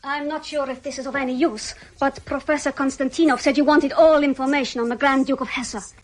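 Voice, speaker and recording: An actress playing a dutiful, self-assured Soviet research officer, formal in manner, in a radio drama. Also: female voice, high-pitched, fairly even intonation, fairly quick, close to an everyday voice. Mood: deferential. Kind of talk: British radio drama acting.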